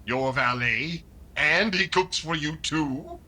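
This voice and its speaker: A man's voice: a little high, animated, slow pace, bright, forceful tone, full character voice. Hesitant, formal tax inspector male actor, radio comedy straight man, noisy recording. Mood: probing, polite. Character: polite, probing male tax official, mildly baffled. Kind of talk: classic radio sitcom straight-man acting. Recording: noisy recording with room ambience.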